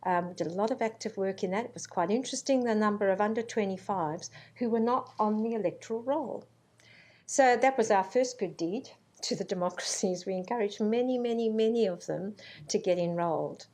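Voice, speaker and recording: A middle-aged woman born in Zambia giving a formal conference welcome speech, measured and earnest, with frequent ums. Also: medium pitch, a little mellow. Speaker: university vice-chancellor. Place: conference hall.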